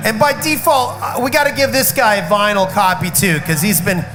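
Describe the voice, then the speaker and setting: A man's voice: high voice, animated, brisk, rough recording. Outspoken rock singer man with a cold. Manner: outspoken, emphatic, swears. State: slight cough and cold. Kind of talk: casual festival chat. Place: festival backstage area. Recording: loud music behind.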